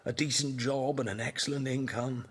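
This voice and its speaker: An actor playing a well-off, patronising husband in colonial Calcutta in a radio drama, teasing with fond endearments. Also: male voice, medium pitch, little pitch movement, normal speed, fairly bright tone, a little hiss or hum, natural voice. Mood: contented.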